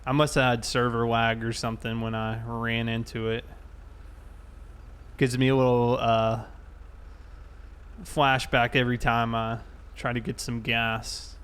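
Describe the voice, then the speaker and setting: A man's voice: mid-range voice, flat delivery, unhurried, long pauses, fairly bright tone. A man casually chatting and commenting while live streaming a game, with an easygoing manner. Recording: clear background game vehicle noise. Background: game vehicle and ambience sounds.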